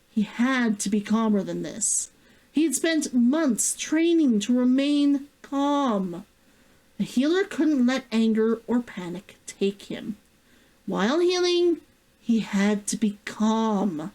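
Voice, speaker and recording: A woman reading a novel aloud as its author, in an expressive audiobook-style narration. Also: a little high, slow, low-quality recording.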